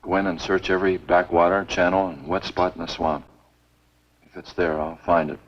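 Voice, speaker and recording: An actor playing a stubborn, blunt state game warden in a 1950s B-movie, speaking in a firm, matter-of-fact way. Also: male voice, deep voice, steady tone, normal speed. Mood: determined. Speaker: film actor. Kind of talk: 1950s B-movie screen acting. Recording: muffled, noisy 1950s film soundtrack.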